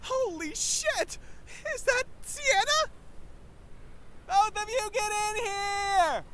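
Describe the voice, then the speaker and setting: Girl doing a startled excitable pal in an audio comedy, super high voice, slight noise behind. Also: extremely slow, heavily acted. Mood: excited. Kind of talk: comedy audio drama acting.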